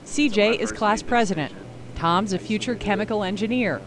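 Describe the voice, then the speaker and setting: A woman's voice: mid-range voice, moderate pace, noisy background. A woman reporter reading a scripted television news story.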